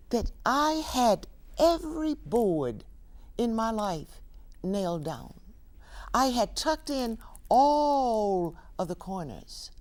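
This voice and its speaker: An actress plays an older woman telling her life story on stage, wry, wounded and defiant. Her voice sits fairly high and moves through wide, emphatic swings. She takes her time, leaving deliberate, dramatic pauses between phrases. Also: heavily acted. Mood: solemn, grieving. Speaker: stage actor performing a monologue. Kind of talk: theatrical dramatic monologue.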